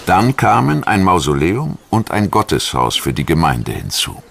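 A man reading scripted documentary narration in a measured, formal manner. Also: deep voice, huge pitch swings, gravelly.

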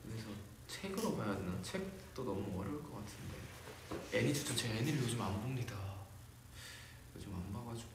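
A man's voice: fairly low pitch, animated, normal speed. Chatty young man in a very echoey room. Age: young adult. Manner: playful, musing aloud, laughs often, repeats words. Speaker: livestream host reading fan comments. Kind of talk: casual livestream chat. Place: decorated room, indoors, at a table. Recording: very echoey room, faint background hiss.

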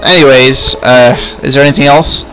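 A man improvising in-character chat in a video game roleplay, halting and often repeating words. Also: mid-range voice, fairly even intonation, a bit bright, soft background music, poor audio. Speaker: host and player of an online roleplay series.

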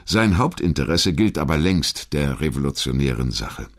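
A man reading a scripted historical narration in a measured, articulate storytelling manner. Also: very deep voice, big pitch swings, crowd noise. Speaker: documentary narrator.

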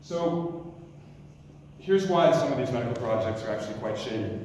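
Loud man pitching in an echoey hall. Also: moderate pace, slight background noise. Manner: loud, energetic, frequent restarts. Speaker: emergency doctor pitching a startup. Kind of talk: pitch presentation. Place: lecture hall, indoors. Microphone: stand mic, recorded from far camera. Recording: echoey lecture hall from far off.

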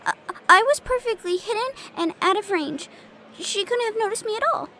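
A woman's voice: very high voice, animated, normal speed, sharp tone, a little hiss or hum, full character voice. A woman voicing a timid, naive young spider girl in an audio roleplay, stammering and flustered. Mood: nervous.

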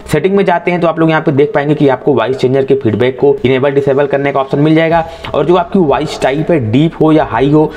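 A man's voice: medium pitch, darkish tone, lo-fi sound. An Indian man narrating a tech tutorial in a methodical way, mixing in English tech terms. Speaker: tech reviewer presenting phone features.

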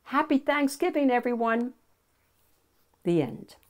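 An older woman reads a children's picture book aloud in an animated, deliberate storyteller's manner. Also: a little high, muffled recording. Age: older, 60s or 70s. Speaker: children's storybook reader and host.

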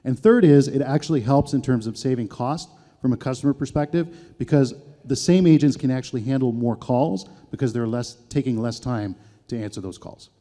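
A man's voice: mid-range voice, a little fast, warm tone. A man on a conference panel, explaining ideas patiently through simple examples. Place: conference stage with audience. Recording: reverberant event hall.

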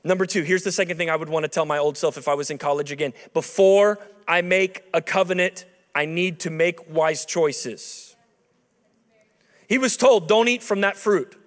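A man in his thirties preaches from a lectern on a large, dark auditorium stage, talking to the crowd in a conversational way that climbs into emphatic peaks. His voice sits high for a man. The handheld mic carries the long reverb of the big hall. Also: moderate pace. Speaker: chapel speaker. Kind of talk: sermon. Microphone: handheld microphone.

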